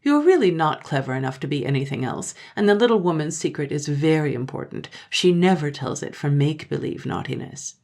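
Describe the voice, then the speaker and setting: A woman's voice: low-pitched, lively intonation, fairly quick, vocal fry, hardly any pauses, a little mellow. Woman playing a sly, aloof village girl. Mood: teasing. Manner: cool, sly and riddling.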